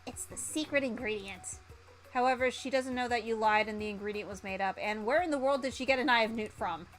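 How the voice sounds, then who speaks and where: A woman with a fairly high voice narrates a game on stream. She reads theatrically and playfully, with background music behind her. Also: brisk. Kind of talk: animated game narration and reading aloud.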